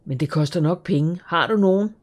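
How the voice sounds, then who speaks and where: Narrator as girl character, chatty, eager, raised pitch, louder, audiobook. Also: natural voice. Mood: excited. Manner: eager, gossipy, quick. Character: chatty bold girl working at a guesthouse.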